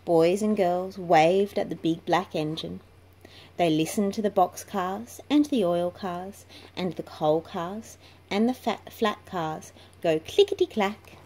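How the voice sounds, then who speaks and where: A woman's voice: lowish voice, slow, dark tone. A woman reading a children's picture book aloud in a storytelling style, in a noisy home recording. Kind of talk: slow read-aloud storytelling.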